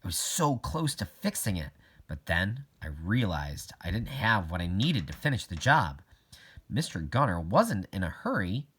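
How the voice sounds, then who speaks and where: A man's voice: low-pitched, sing-song, a little slow, fairly bright tone, husky. A man reading a children's novel aloud as a first-person narrator. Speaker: audiobook reader.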